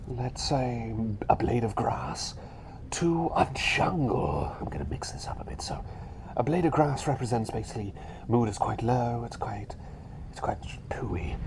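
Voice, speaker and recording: Male actor as talking bird doctor, mild and flustered, soft whispery ASMR, lively intonation, hesitant repetitions, close mic, noticeable background noise. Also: mid-range voice. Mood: soothing. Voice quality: soft, breathy, often dropping to a whisper. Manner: hesitant, rambling, repeats phrases. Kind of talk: ASMR roleplay, personal attention. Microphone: close ASMR microphone.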